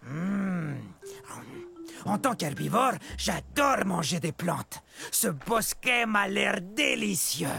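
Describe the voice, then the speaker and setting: Creaky, animated cartoon dinosaur. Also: medium pitch, slow, full character voice. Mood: sheepish, friendly. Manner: expressive, exclaiming, addresses young viewers. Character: huge clumsy friendly dinosaur; small boastful excitable dinosaur. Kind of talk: children's educational cartoon narration in character. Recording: music behind the voice.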